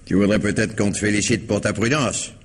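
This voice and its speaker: A man's voice: lowish voice, steady tone, brisk, slight background noise, lightly acted. An actor playing a stern, sardonic middle-aged father in a French radio mystery, dry and reproving.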